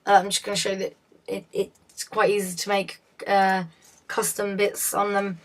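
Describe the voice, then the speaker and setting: A woman with a hoarse, fading voice, talking through a game tutorial in a chatty, self-correcting way. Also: medium pitch, completely flat delivery, moderate pace. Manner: chatty, self-correcting, often laughs at slips. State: hoarse, losing her voice. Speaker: video tutorial host.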